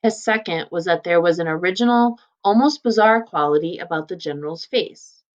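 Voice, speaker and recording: A woman with a slightly low voice reads a story aloud as a teacher would. She adds informal, explanatory commentary as she goes. The recording is a little dull and lo-fi. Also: fairly quick. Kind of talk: read-aloud lesson with casual explanatory commentary.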